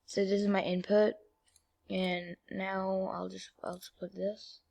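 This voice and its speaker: A female-sounding voice at a middling pitch walks through software steps. The delivery is informal and halting, in the manner of a homemade screen-recording tutorial. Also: flat delivery, creaky. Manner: informal, halting step-by-step instructions. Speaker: video creator giving a tutorial.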